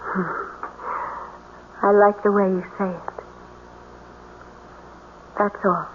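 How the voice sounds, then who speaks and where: A woman's voice: mid-range voice, steady tone, drawn-out words. An actress playing a mysterious, haunted young woman with a solemn, dramatic delivery in a radio drama. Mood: wistful. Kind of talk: 1970s radio drama acting. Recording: muffled, noisy narrow-band old radio recording.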